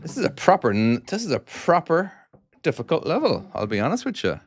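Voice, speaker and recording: A man's voice: a little high, wildly swooping pitch, a little fast. An Irish man who makes trucking games, chatting casually and self-deprecatingly on a live stream while playing and answering chat. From Ireland. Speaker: game developer streaming his own game.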